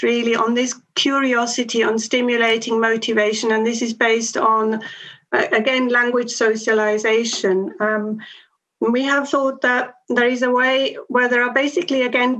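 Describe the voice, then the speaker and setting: A woman's voice: fairly high pitch, a bit bright. A middle-aged woman, not a native English speaker, answering a panel question on a video call from home. She talks thoughtfully, with many ums and restarts. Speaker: education researcher. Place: home room with bookshelves and plants. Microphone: laptop webcam on video call. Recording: dull lo-fi video call audio.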